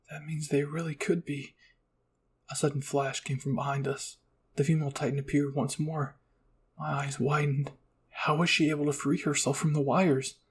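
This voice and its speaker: A man's voice: on the high side, breathy edge. A man narrating a scripted first-person roleplay story.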